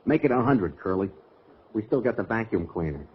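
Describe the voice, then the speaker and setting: An actor playing an eager, shifty, penniless sidekick in a vintage radio comedy, scheming with mock dignity. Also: male voice, on the low side, big pitch swings, a little fast. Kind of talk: 1940s-50s radio comedy acting before a live audience. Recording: muffled, slightly hissy vintage radio recording.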